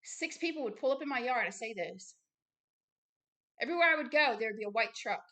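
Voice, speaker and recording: Emphatic, bright-voiced woman preaching. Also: a little high, lively intonation, fairly quick. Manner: emphatic, blunt, repeats phrases for stress. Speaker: ministry founder and host reading and commenting on a book.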